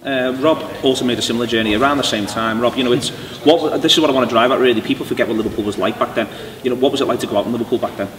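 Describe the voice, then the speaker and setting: Fast-talking man host in an echoey noisy room. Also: mid-range voice, distant mic. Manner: eager, rambling questions with fillers. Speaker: podcast host. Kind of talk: interview hosting.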